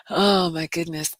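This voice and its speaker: A woman with a low, raspy, smoky voice, chatting casually on a livestream and reading out viewer comments. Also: fairly bright tone, no echo, rough recording. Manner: chatty, says "y'all" and "you all" often. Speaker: livestream host.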